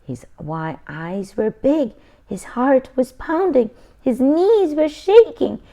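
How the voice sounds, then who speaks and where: A woman's voice: high voice, very animated, slow pace, dark tone. A woman reading a children's picture book aloud expressively, adding simple asides for young listeners.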